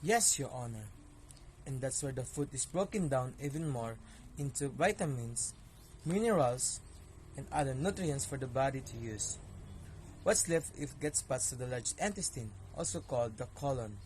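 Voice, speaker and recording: A man's voice: mid-range voice, lively intonation, normal speed, darkish tone, slight background noise, close to an everyday voice. A man playing an earnest digestive system testifying in amateur reader's theater, explaining formally. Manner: explanatory, formal courtroom address.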